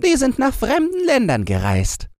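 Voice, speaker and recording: A man's voice: very high voice, huge pitch swings, moderate pace, no echo, studio quality, pitched above the performer's own voice, heavily acted. A man voicing a brash, cheeky young robber girl in a fairy-tale audiobook, blunt, teasing and bossy. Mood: blunt, gossipy. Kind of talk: storybook character voice in an audiobook.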